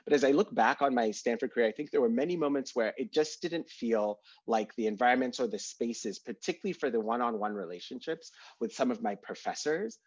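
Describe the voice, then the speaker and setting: A man's voice: on the low side, husky, no room sound. A young man from Kenya speaking earnestly as an alumni panelist on a video call, with many "like" fillers. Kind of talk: candid reflective panel answer. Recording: dull dry video-call audio.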